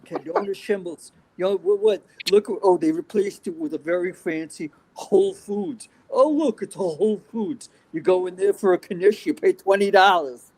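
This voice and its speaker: A man putting on a pushy old Lower East Side New Yorker who wisecracks and haggles like a street peddler, in a put-on New York accent. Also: sing-song, normal speed, vocal fry, few pauses, a little mellow, heavily acted, rough recording. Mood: scoffing. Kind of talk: comic improv bit on a chat stream.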